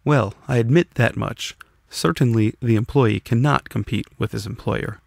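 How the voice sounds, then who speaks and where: A man's voice: medium pitch, moderate pace, creaky, dry recording, studio quality. A man voicing a sceptical, combative communist who puts probing questions in a scripted audiobook dialogue. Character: sceptical, challenging communist debater.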